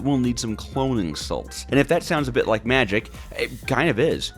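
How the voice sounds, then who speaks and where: A man narrating gameplay from a script in a theatrical, playful and wry manner. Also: mid-range voice. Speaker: gaming video host.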